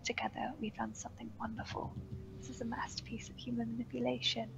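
A woman's voice: a little high, flat delivery, gravelly, dull audio. A woman voicing a whispering, soothing seer spirit. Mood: gloating. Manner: slow, hushed, gloating. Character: eerie female seer, calm manipulative spirit. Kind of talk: horror story reading.

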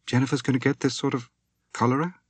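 A man voicing a young wife and mother who is anxious and protective, her speech pleading and rising to sharp anger. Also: mid-range voice, little pitch movement, fast, husky, no room sound, pitched above the performer's own voice, lightly acted.

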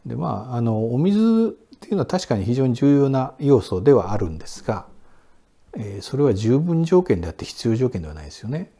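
A man in his sixties, a sake brewery director, answering interview questions in a relaxed, explanatory way with many fillers, seated in a quiet indoor room. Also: mid-range voice, fairly quick. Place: indoor Japanese room with sliding doors.

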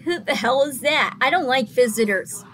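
A woman's voice: sits high, lively intonation, moderate pace, pushed and bright, slight background noise, low-quality recording. A young adult woman reacting to a video, reading out its captions and commenting, acting out the dialogue with exaggeration. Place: small indoor room. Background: music from the video being watched.

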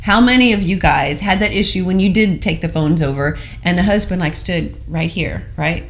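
Chatty middle-aged woman, low voice. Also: moderate pace, gravelly. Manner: chatty, frequent affirming "mm-hmm", reads viewer comments aloud. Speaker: co-host. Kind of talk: casual studio talk show. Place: studio set with city backdrop. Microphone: desk microphone.

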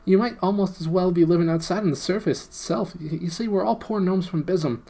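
A man voicing an excitable, nervous male gnome from underground, stammering as he talks. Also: high-pitched, a little fast, gravelly, voice pushed up. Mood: cheerful.

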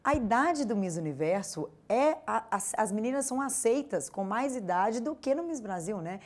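A woman's voice: fairly high pitch, animated, moderate pace, a little mellow. A young woman hosting a TV interview program, asking upbeat leading questions into a handheld mic. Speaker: host. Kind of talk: TV interview and presenting.

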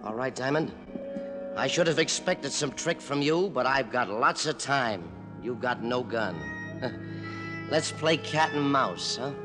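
Smug, mock-friendly radio gangster, menacing, echoey old recording. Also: male voice, mid-range voice, lively intonation, slow, over music. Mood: taunting. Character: smug, menacing male gangster toying with his prey. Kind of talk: 1950s radio detective drama acting.

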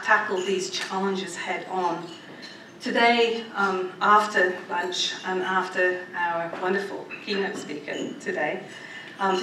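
A middle-aged woman giving a formal prepared academic speech with informal asides, in a measured way that stresses listed points. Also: mid-range voice, fairly bright tone. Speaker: academic speaker at a department anniversary event. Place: medium-sized indoor lecture room with audience. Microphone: gooseneck podium microphone. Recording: roomy, distant microphone, faint background noise.

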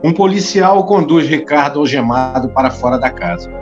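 A man's voice: a little high, animated, normal speed, dull audio, music in the background. A man narrating an audio drama in a scripted style.

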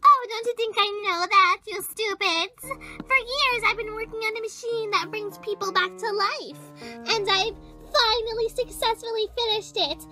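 A woman's voice: extremely high pitch, moderate pace, sharp tone, faint music behind, exaggerated voice. A woman voicing a gleeful, commanding cartoon villain bent on ruling the world, gloating in a grand theatrical way. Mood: boastful, defiant. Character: megalomaniac villain with zombie army plan, gleeful and commanding.

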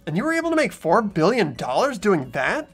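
Man voicing an earnest, high-pitched, lively schoolboy. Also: brisk, slightly rough, faint music behind, heavily acted. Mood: incredulous. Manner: earnest, questioning, incredulous. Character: earnest, easily amazed ordinary high school boy. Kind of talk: visual novel game voice-over.

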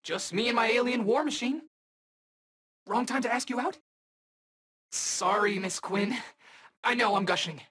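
An actor playing a cocky, eager young rookie superhero in a video game, bantering in casual, wisecracking slang. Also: male voice, very high-pitched, a little slow, long pauses, pushed and bright, slightly rough, exaggerated voice. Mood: cocky, teasing, then starstruck. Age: young adult.

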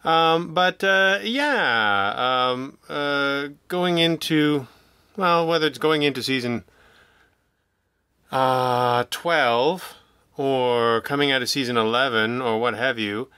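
A man with a bright, forceful voice in a very dry recording. He chats casually as an interview guest, feeling his way haltingly through digressions and self-interruptions. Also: mid-range voice, clear, resonant tone, no echo. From Canada. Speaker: podcast guest, audio drama writer and producer.

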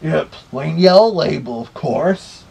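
Higher-pitched man with a husky, creaky voice. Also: animated, slow, darkish tone. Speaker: reviewer. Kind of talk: casual review commentary. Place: small bedroom, indoors.

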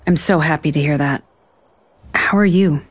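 An actress playing a wry, foul-mouthed author on a book tour, dry and conversational in a naturalistic audio drama. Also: female voice, sits low, normal speed, breathy edge. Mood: warm, teasing.

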